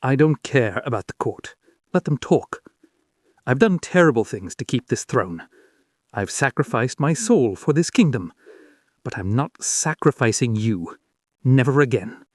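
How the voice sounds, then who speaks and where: A man's voice: medium pitch, sing-song, unhurried, no echo, clean studio sound. A man voicing a fierce, anguished king in love in a dramatic story, impassioned and pleading. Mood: resolute, commanding.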